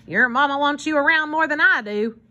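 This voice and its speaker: A woman voicing a gruff, grumbling old male hobo with a soft heart in a storybook, protesting and blustering. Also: high-pitched, brisk, dull audio, voice pushed up.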